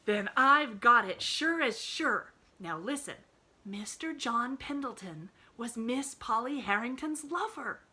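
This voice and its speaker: Woman putting on an excitable gossipy country maid, rustic dialect, breathless, lively swoops. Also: a little high, normal speed, voice pushed up, full character voice. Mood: excited. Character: excitable young rural housemaid, gossipy.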